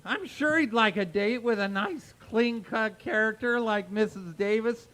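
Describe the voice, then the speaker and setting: A cocksure teenage schoolboy with a very high-pitched voice. He is breezy and slangy, a helpful schemer in an old-time radio comedy. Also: measured pace, pushed and bright. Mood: breezy, eager. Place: live stage performance at a radio history event.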